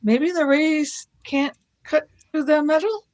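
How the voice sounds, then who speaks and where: Woman doing a high-voiced young companion girl, impatient, always asking stuff. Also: slow pace, a little mellow. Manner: urging, questioning.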